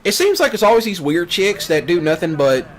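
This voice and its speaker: A man's voice: sits high, sing-song, fairly quick. A man, a folksy gaming channel host rambling casually in a teasing rant. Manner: folksy, teasing, mocks others in put-on quoted voices. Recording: clear background noise, car engine sounds. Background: vehicle sounds from a driving video game.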